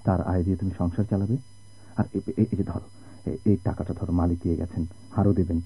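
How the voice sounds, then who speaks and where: An actor playing an eager, stubborn sailor husband, pleading tenderly and dramatically in a radio play. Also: male voice, deep voice, flat delivery, a little fast, dark tone, husky. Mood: reassuring. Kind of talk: Bengali radio drama acting. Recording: muffled old radio drama recording.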